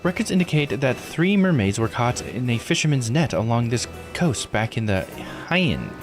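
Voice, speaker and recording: A man voicing an eager, excitable young-sounding female guide in a visual novel, informal and enthusiastic. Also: medium pitch, moderate pace, music in the background, close to an everyday voice. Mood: excited.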